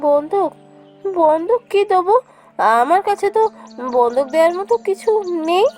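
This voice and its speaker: A woman's voice: very high voice, lively intonation, moderate pace, darkish tone, soft background music. A woman voicing a poor, anxious village wife in a cartoon fairy tale, pleading and emotive. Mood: resigned, worried.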